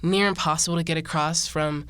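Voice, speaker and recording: A woman's voice: sits low, steady tone, a little fast, a bit bright, smooth, dry recording. A woman co-hosting a podcast, introducing guests and asking interview questions with friendly, short affirmations. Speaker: podcast co-host.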